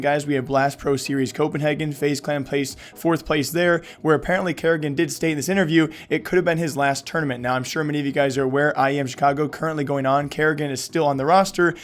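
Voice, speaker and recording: A man hosting an esports news show, talking energetically while losing his voice. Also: fairly high pitch. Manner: energetic, many fillers. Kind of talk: fast esports news commentary.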